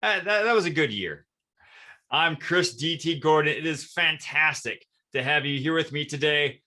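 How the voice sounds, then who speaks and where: Bright, higher-voiced American podcast host, male. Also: lively intonation, moderate pace. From Michigan, United States. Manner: enthusiastic. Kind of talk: upbeat podcast intro and interview chat.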